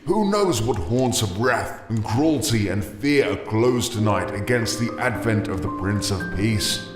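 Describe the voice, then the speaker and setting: Guy playing an earnest old-time missionary priest, low voice, solemn speeches, roomy echo. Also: animated. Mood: fervent, solemn. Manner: solemn, archaic, measured oratory. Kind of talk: audio drama acting. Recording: roomy echo, music behind.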